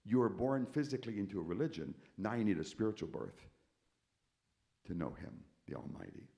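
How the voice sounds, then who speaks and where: Older male preacher, deep and gravelly, emphatic church testimony with big dynamic swings. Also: animated, a little fast. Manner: emphatic preacher, repeats key words, swings from quiet to loud. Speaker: concert pianist giving testimony. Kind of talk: testimony sermon to a congregation. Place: church sanctuary.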